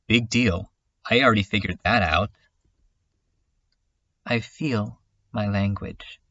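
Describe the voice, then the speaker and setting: A brooding, self-doubting dreamer, acted by a man speaks in a mid-pitched voice. The delivery is unhurried and halting, like a poetic confession in a fan-dubbed webcomic drama. The recording is dull and lo-fi. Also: a bit bright, dry recording. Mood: anguished, searching. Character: brooding, self-critical dreamer musing on art and self. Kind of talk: slow introspective dramatic monologue in a webcomic fan dub.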